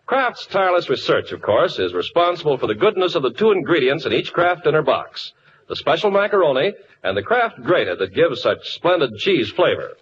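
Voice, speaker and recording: American male commercial announcer, 1940s radio, slightly high and very animated, muffled with faint hiss. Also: moderate pace. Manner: upbeat sales pitch, emphatic. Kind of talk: 1940s radio advertisement read.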